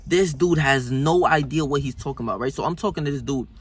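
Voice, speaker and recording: A man in his twenties telling a story as a casual rant, animated and profane, full of slang and fillers. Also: medium pitch, quick pace, breathy edge. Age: 20s. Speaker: vlogger.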